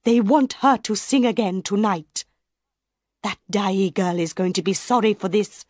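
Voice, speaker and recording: A woman's voice: medium pitch, a little slow, a little mellow, dry recording, studio quality, natural voice. Woman doing a haughty vain opera diva.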